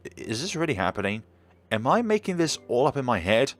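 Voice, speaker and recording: A man's voice: medium pitch, big pitch swings, brisk, soft background music, lightly acted. A man reading a young female student's anxious inner thoughts aloud in his own voice. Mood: worried. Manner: worried, self-doubting.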